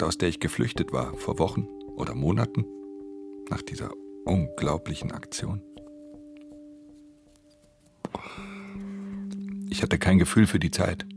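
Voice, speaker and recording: Brooding thief narrator, extremely low voice, gravelly fry, measured and introspective, radio drama, music underneath. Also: male voice. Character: brooding male pickpocket narrating his criminal life in Tokyo.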